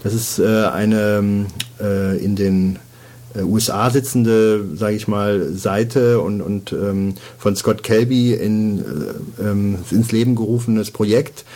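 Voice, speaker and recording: Male podcast host, lowish voice, hesitant, full of ums. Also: fairly even intonation, slow pace, warm tone. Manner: hesitant, many ums and restarts. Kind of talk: casual interview talk.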